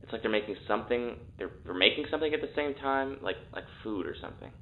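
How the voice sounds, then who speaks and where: An actor playing an uneasy male test subject in an audio drama, speaking haltingly and repeating words as he struggles to explain. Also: mid-range voice, animated, slight background noise, lightly acted. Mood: distracted, disturbed. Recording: thin, phone-like intercom sound.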